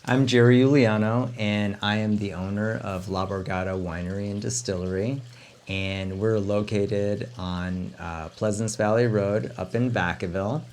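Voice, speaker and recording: A young man who grew up speaking Italian first, chatting casually as a winery owner, with a relaxed manner and frequent ums. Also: on the low side. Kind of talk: casual chat to camera.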